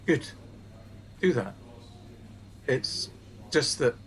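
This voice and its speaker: A man playing a firm, measured team supervisor. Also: medium pitch, lively intonation, a little hiss or hum. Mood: careful. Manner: measured, tactful, insistent. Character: earnest, firm male supervisor of a helpline team. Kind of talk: naturalistic radio play acting.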